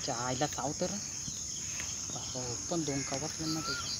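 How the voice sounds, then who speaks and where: A man's voice: on the high side, fairly even intonation, moderate pace. A young man chatting casually as a fishing vlogger outdoors. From India. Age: young adult. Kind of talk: casual outdoor vlog chat. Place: outdoors on a riverbank. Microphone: phone camera, varying distance. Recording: very noisy outdoor phone recording. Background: loud insects and outdoor ambience.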